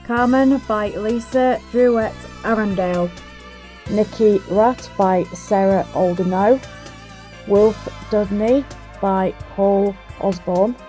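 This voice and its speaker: A woman's voice: mid-range voice, slow pace, mellow. A woman reading scripted closing credits for an audio play as announcer. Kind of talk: scripted narration. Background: music.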